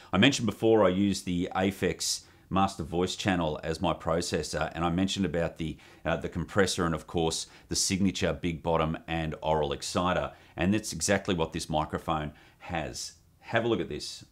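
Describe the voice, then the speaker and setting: A man with an Australian accent presenting an enthusiastic microphone review at his home studio desk, talking into a condenser on a boom arm. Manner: enthusiastic, upbeat presenter delivery. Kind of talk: product review demo. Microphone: USB condenser on boom arm with pop screen.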